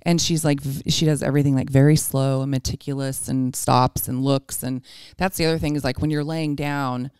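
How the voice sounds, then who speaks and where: A woman's voice: a bass voice, steady tone, brisk, hardly any pauses, darkish tone, smooth, dry recording, clean studio sound. A woman in her forties chatting casually and candidly, with a self-deprecating way of talking. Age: 40s. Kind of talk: casual chat.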